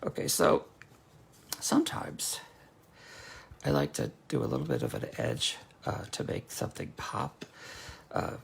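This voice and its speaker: Guy rambling through a craft demo, hoarse and nasal, lots of fry, faint room noise behind. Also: a bass voice, wildly swooping pitch, measured pace, long pauses. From North Carolina. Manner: chatty and rambling, frequent fillers and restarts. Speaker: card-making demonstrator. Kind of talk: casual craft demonstration.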